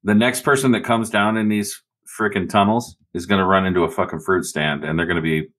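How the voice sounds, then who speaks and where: Low-voiced, emphatic male tabletop player. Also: steady tone, a little fast, a bit bright. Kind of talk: casual in-game banter.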